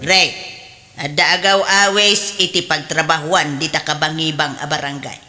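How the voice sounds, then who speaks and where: A woman's voice: low voice, fairly even intonation, measured pace, over music. A woman playing a domineering, nagging middle-aged mother of a grown son in an audio drama, with an Ilocano accent. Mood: nagging, angry. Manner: nagging, sharp-tongued, indignant. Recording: long reverb, faint background noise.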